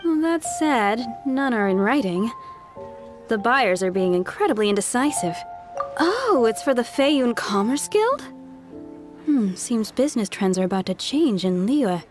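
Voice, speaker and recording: A shrewd polite merchant. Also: female voice, on the high side, big pitch swings, unhurried, over music. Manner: courteous, slightly flustered. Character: polite female ore merchant, shrewd and businesslike. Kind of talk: video game voice acting.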